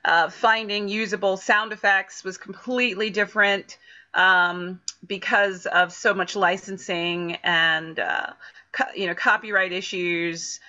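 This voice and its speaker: Woman, middle-aged, bright forceful voice, animated casual talk, muffled video-call sound. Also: medium pitch, measured pace. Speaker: theater production crew member. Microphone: computer mic on a video call. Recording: dull video-call audio.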